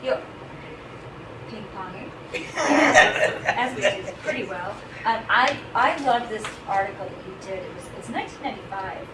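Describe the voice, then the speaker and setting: A friendly woman grad student asks her questions in a chatty way, hedging with fillers as she goes. She sounds far from the mic in a roomy space, with loud noise running behind her voice. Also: medium pitch, animated, moderate pace. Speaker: graduate student interviewer. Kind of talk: casual academic interview. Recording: echoey, distant, loud background noise.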